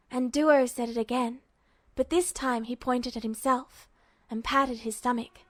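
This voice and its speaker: A woman's voice: sits high, no room sound. A woman reading scripted fiction aloud as an audiobook narrator. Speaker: fan fiction audiobook reader.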